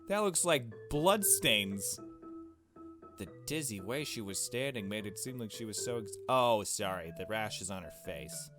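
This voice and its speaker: A man's voice: on the low side, lively intonation, a little slow, over music. A man reading a visual novel aloud as he plays, narrating casually with off-the-cuff asides.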